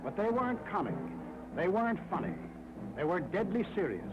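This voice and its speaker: A man's voice: sits high, sing-song, a little fast, heavy background noise. An American man narrating a 1940s wartime propaganda film in a dramatic, emphatic, rhetorical style. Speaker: documentary narrator. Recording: muffled old film soundtrack with music.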